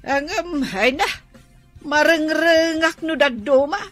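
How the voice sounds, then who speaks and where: A woman's voice: sits high, slow, fairly bright tone, faint music behind. A woman with an Ilocano accent playing a chatty, nosy village storekeeper in a comedy radio drama, drawling and exclamatory. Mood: gleeful, scheming. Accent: Ilocano, northern Philippines. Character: chatty, nosy female village canteen storekeeper, eager to sell.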